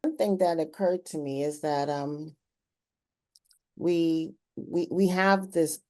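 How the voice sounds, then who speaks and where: Low-voiced woman interviewer, mellow. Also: takes it slowly. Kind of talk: conversational community interview.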